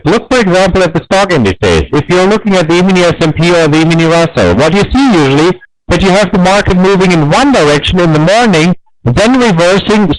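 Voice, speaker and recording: A man's voice: a little high, no room sound, low-quality recording. A man with a German accent teaching trading basics in a live webinar, stressing key points and repeating himself, with frequent fillers. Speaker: trading educator.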